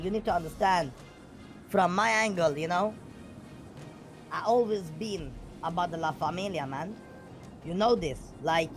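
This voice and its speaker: Squeaky, high-pitched yelling man. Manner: animated, rambling with many fillers. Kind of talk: in-character roleplay chat.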